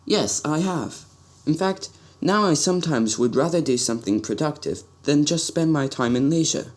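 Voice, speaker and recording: A man's voice: on the high side, lively intonation, moderate pace, lightly acted. A man playing an earnest, curious young prince in an amateur radio drama, with a formal and stiffly polite way of speaking. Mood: grateful, sincere.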